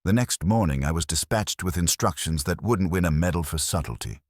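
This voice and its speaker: A man narrates a first-person detective story from a script. His voice is very deep with a husky grain, and his pitch moves expressively through the sentences. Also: expressive, fairly quick, dry recording, studio quality. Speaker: audiobook narrator.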